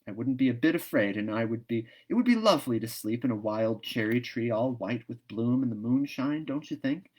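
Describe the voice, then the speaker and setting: A man's voice: mid-range voice, animated, fairly quick, hardly any pauses, darkish tone, natural voice. A man voicing a talkative, imaginative eleven-year-old orphan girl in a storybook, earnest and eager. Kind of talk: storybook read-aloud.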